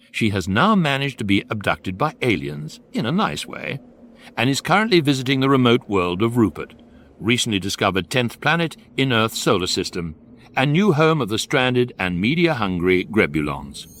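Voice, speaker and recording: An actor playing a wry, erudite guidebook narrator in a sci-fi radio comedy. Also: male voice, medium pitch. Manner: wry, deadpan irony.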